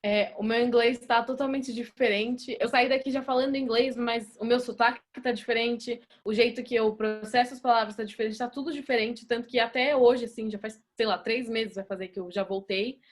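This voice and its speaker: A young Brazilian woman speaks at a club meeting about her time as an exchange student. Her voice sits a little high, and her talk runs loose and chatty, full of fillers and false starts. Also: fairly even intonation, fairly quick, few pauses. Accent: Brazilian Portuguese. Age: young adult.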